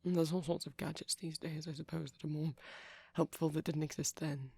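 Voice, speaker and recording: A woman voicing a shy, gentle young male vampire boyfriend in an ASMR roleplay, speaking breathily and hesitantly with small stammers. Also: deep voice, fairly even intonation, fairly quick, gravelly, breathy edge, no echo, full character voice. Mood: shy, caring. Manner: hesitant, stammering, softly whispery. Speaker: audio roleplay performer.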